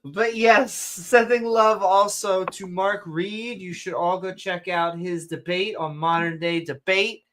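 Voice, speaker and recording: Casting: male podcast co-host, rambling and excitable, bright and slightly high, voice fraying. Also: slow, hardly any pauses, slight background noise. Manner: rambling, excitable, jumps between topics. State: losing his voice. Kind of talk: casual late-night podcast chat.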